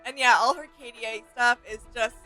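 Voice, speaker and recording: A woman's voice: fairly high pitch, steady tone, brisk, music in the background, rough recording. A woman co-hosting a casual reaction video, enthusiastic and agreeable as she comments like a fan.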